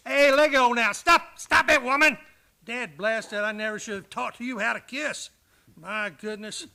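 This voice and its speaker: An actor playing a rough, hearty, affectionate frontiersman with folksy speech in a western radio play. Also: extremely high pitch, normal speed, bright, forceful tone, heavily acted. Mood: flustered.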